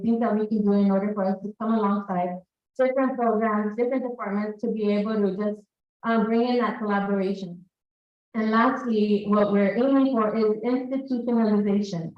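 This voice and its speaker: Woman in an echoey hall. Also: medium pitch. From El Salvador. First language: Spanish. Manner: lively, engages the audience directly. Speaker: staff presenter. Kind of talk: speech to college staff. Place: large hall with an audience. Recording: echoey hall, distant mic, dull sound.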